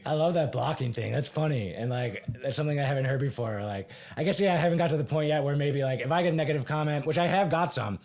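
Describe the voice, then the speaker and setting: A man with a raspy voice hosting a podcast, chatting casually and chiming in with short agreements. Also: fairly high pitch, fast talker, hardly any pauses, darkish tone. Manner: agreeable, chimes in with short affirmations. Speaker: podcast host. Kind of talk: casual interview chat.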